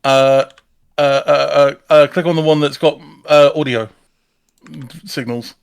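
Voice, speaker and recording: Higher-pitched man, stammering as he coaches. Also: fairly even intonation, unhurried, gravelly, smooth, dry recording. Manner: stammering, repeats words while coaching. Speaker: live-stream participant giving technical help. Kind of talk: casual troubleshooting chat.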